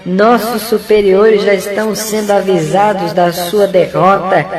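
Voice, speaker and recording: A man's voice: sits high, flat delivery, a little slow, over music. A man playing a gleefully cruel underling spirit in an audio drama, taunting and sneering with repeated insults. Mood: gleeful mockery. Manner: taunting, jeering, sneering repetition.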